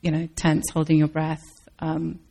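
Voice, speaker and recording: Woman Zen teacher, low flat voice with husky fry, thoughtful and searching answers. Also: normal speed. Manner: thoughtful, searching, with restarts and soft laughs. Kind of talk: dharma talk Q&A answers.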